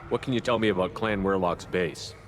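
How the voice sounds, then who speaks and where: A man voices a businesslike human commander in a video game. His voice is low and steady, with direct, matter-of-fact delivery and a long reverb around it. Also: brisk, natural voice. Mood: direct, inquiring. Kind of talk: video game character acting. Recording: long reverb, faint ambient noise.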